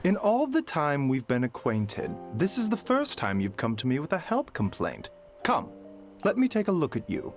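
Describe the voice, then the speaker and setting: An actor playing a calm, courteous male doctor who speaks in a measured, formal way. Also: a little high, soft background music.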